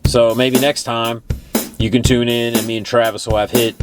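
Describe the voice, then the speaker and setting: A man's voice: medium pitch, level tone, moderate pace. Texan man chatting over music. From Texas, United States. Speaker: retro game collector and video host. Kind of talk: casual vlog chat.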